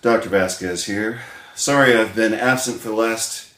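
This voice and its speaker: A low-voiced man, still a bit wheezy from bronchitis, addresses his viewers in a loose, rambling way. He stumbles over restarts and fills pauses with "uh." The sound carries a roomy echo. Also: fairly bright tone. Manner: rambling, frequent uh fillers and self-corrections. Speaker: chiropractic doctor and essay author. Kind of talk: casual spoken vlog, self-recorded.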